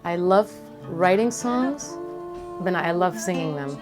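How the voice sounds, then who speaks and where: A woman with a low-pitched voice reflects on herself in an interview. She speaks thoughtfully and haltingly, pausing in the middle of phrases as she searches for words. Soft music plays behind her. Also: expressive. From Venezuela. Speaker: singer-songwriter being interviewed.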